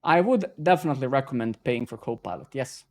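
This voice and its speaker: A man's voice: mid-range voice, expressive, quick pace, a little mellow. A man originally from Serbia hosting a casual live-coding stream, talking loosely, swearing when frustrated and tagging lines with "right?" and "man". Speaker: stream host.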